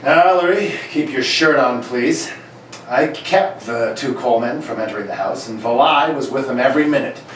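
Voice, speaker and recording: A man playing a gruff, exasperated older police inspector in an amateur comedic radio play, blustery and halting as he reads. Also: mid-range voice, lightly acted. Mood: grumbling. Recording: echoey room, distant mics, noisy.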